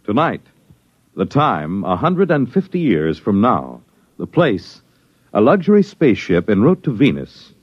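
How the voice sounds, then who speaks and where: A man's voice: fairly low pitch, wildly swooping pitch, a little slow, slightly rough. A man announcing a 1950s radio drama in a dramatic, declaiming style. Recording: dull vintage radio recording with music.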